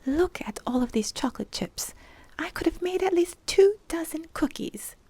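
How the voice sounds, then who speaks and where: A woman's voice: very high-pitched, expressive, moderate pace, warm tone, dry recording, pitched above the performer's own voice. A woman voicing a nervous, fretful woman baker who stammers and worries.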